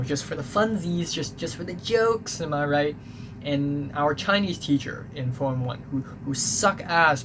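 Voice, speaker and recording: A young man with a Hong Kong English accent rambles through a casual story, correcting himself and swearing freely. His voice sits a little high for a man. Steady background noise runs under the recording. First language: Cantonese. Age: young adult. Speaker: vlogger.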